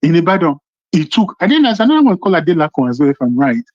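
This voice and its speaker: High-pitched, animated Nigerian man on a phone. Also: very fast. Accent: Nigerian Yoruba. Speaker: phone-in caller. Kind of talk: impassioned political phone-in commentary. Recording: muffled, band-limited phone call.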